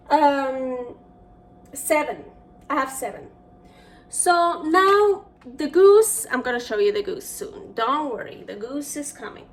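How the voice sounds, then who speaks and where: A woman's voice: high-pitched, recorded from a distance. A young woman from Switzerland with a Swiss accent, hosting a casual cooking vlog in her home kitchen, chatting in a rambling way with many fillers and restarts. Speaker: cooking show host.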